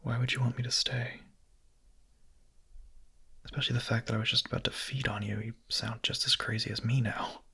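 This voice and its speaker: A man playing a young vampire in an intimate ASMR roleplay, speaking softly and haltingly in a breathy, husky whisper, hungry yet hesitant and tender. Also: medium pitch, steady tone, exaggerated voice. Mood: surprised, shy.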